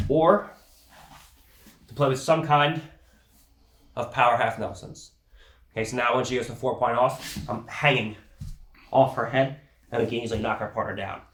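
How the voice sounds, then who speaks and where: A man's voice: mid-range voice, reverberant. A man with a cold teaching grappling techniques in a casual, explanatory way, often checking in with "okay". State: has a cold, sniffling. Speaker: grappling instructor. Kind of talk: instructional teaching.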